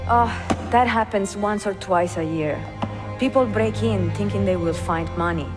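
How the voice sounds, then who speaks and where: A woman with an Italian accent acting as a bookish, warm-hearted book dealer in a video game. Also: medium pitch, normal speed, natural voice. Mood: resigned, gentle. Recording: music behind the voice.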